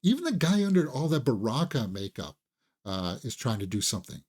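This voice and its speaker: A man's voice: medium pitch, big pitch swings, fairly quick, a little mellow, breathy edge. A man hosting a casual, conversational film review, enthusiastic and opinionated, sometimes repeating words as he thinks aloud.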